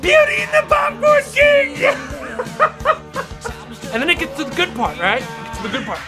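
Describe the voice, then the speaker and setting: A young woman telling a comic personal story, animated and breaking into shouts and laughter. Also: very high-pitched, normal speed, music in the background.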